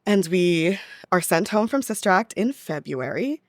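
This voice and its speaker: A woman's voice: lowish voice, expressive, unhurried, no echo, clean studio sound. A young American woman telling stories casually and chattily as a podcast guest, as a touring theatre actress would. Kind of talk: casual conversational storytelling.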